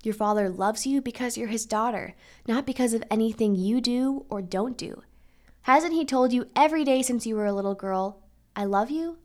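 A woman reads as a wise nanny in a children's story. The voice is fairly high, with firm, gentle and patient counsel. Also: moderate pace, lightly acted. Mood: patient, admonishing. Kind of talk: storybook character reading.

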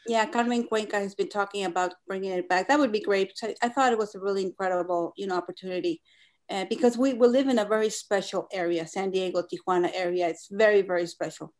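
A woman in her fifties who grew up in Mexico speaks with a Mexican Spanish accent, Spanish being her first language. Her pitch sits in the middle range. She talks in a reflective, meandering way, leaning on fillers and restarting her phrases. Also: quick pace. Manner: thoughtful, many fillers and restarts. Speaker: artist guest. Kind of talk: conversational interview.

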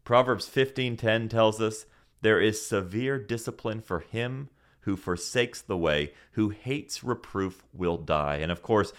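A man who grew up in Senegal, teaching a Bible podcast in an earnest preaching manner with rhetorical questions. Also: on the low side.

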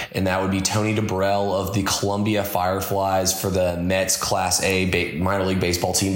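Hoarse young man hosting a sports show. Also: fairly low pitch. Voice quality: raspy. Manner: rapid opinionated fan talk, repeats "guys". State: slightly hoarse from yelling. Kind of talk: casual sports commentary. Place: small echoey studio room. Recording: echoey room.

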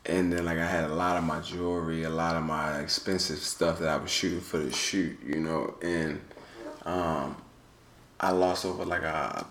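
A young man as a guest in casual chat, telling stories in a relaxed, slangy way. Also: low voice. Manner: relaxed slangy storytelling, many "you feel what I'm saying". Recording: echoey room with faint noise.

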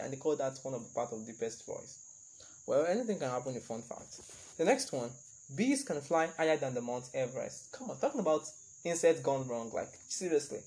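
A man's voice: mid-range voice, darkish tone, rough recording. A young man hosting a casual solo podcast, chatty and rambling, laughing at his own remarks. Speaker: podcast host.

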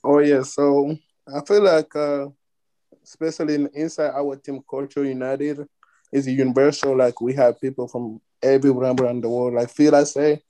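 A man's voice: mid-range voice, little pitch movement, moderate pace, warm tone, poor audio. A man who plays soccer, speaking English as an additional language in a casual, earnest way. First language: non-English first language. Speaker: soccer player. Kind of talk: casual podcast chat.

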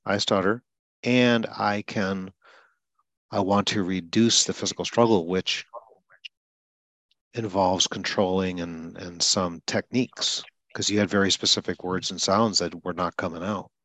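An older man who stutters a little himself, calmly explaining ideas to a client in an interview. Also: deep voice, no echo, poor audio. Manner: explains slowly and deliberately, stutters lightly himself. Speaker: speech coach interviewing a client. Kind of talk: calm conversational interview.

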